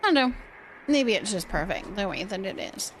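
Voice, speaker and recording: A woman chatting casually on a livestream as host, musing indecisively out loud while she decorates. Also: mid-range voice, animated, fairly quick, slight background noise.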